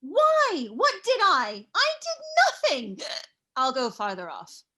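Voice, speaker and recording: A woman's voice: high-pitched, wildly swooping pitch, slow pace, bright, forceful tone, a little hiss or hum, exaggerated voice. A woman playing a tipsy, cowardly, mocking jester who whines in a Shakespearean radio play. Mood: whining, defensive.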